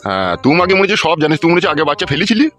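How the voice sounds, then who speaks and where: A man's voice: fairly high pitch, sing-song, racing, fairly bright tone, faint music behind. A man voicing a greedy, foul-mouthed village uncle in a comedy cartoon, scolding in crude slang. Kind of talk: Bengali comedy cartoon dubbing.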